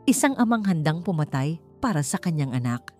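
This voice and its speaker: A woman's voice: deep voice, very animated, a little fast, dark tone. A woman narrating a dramatic audiobook story in a scripted storytelling style. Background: background music.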